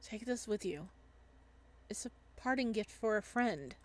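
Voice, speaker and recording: A soft-spoken young fisherman, played by a woman reading a visual novel. He sounds kind and reserved, with a gentle, quiet delivery. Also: mid-range voice, animated, measured pace.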